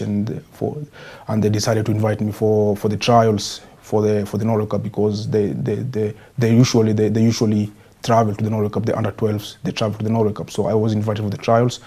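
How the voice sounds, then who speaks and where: A man's voice: on the low side, darkish tone. A young Kenyan man, a professional goalkeeper, answering interview questions in a hesitant way, with many repeated words and restarts. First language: Swahili.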